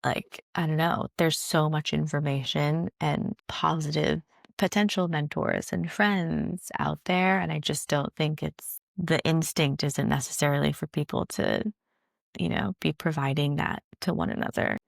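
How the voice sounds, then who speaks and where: A woman hosts a relaxed conversation, thinking aloud and hedging with fillers. Her voice is low with plenty of vocal fry. The sound is close and dry. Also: a little slow, dry recording. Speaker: podcast host, Pilates apprentice. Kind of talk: casual conversation.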